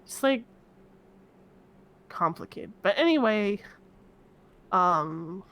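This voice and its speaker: A woman playing a giddy, rambling cultist murderer in improvised online roleplay, with halting, repetitive speech. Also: medium pitch, expressive, fairly bright tone. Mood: giddy, defensive.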